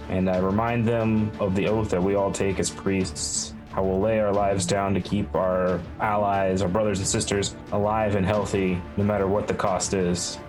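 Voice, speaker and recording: Male role-player, deep flat delivery, solemn and understated, music clearly underneath. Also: rough recording. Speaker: tabletop game player. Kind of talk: first-person role-play description.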